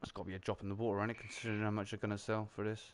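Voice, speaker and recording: A man's voice: lowish voice, flat delivery, very fast. A British man hosting a casual gaming livestream chat, reading out donations from the chat. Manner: reads out chat donations, chatty and upbeat. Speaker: livestream host.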